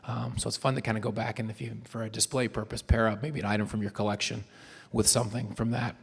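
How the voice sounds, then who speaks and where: A hoarse man giving a chatty panel talk to a live audience, with frequent ums and restarts. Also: on the low side, little pitch movement, quick pace. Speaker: convention panel presenter. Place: convention hall panel room. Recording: long hall reverb.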